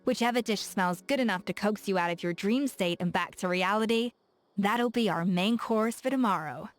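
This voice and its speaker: Woman doing a confident cheery game chef, medium voice, soft music under it. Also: medium pitch, moderate pace, no room sound, lightly acted. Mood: teasing. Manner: warm, encouraging and playful. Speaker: game character. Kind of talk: game voice acting.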